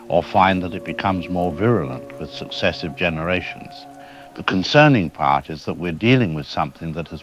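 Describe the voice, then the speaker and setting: A man playing a serious, measured scientist explaining things as a radio interview guest in an audio drama. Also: low-pitched, fairly bright tone, lo-fi sound.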